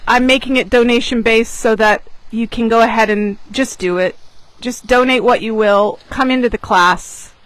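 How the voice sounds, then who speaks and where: A woman who grew up in England with American parents tells a personal story as a manifestation coach, expressively, with dramatic pauses for emphasis. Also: a little high, fairly even intonation, dry recording, low-quality recording. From born in Japan, grew up in England, American parents. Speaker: manifestation coach and class teacher. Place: outdoors on a beach.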